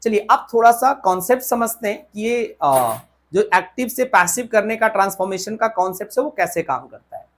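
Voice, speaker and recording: A woman's voice: mid-range voice, expressive, moderate pace, slight background noise. A woman with an Indian accent teaching a grammar lesson step by step, repeating key points and checking that listeners follow. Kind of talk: instructional lesson, explaining step by step.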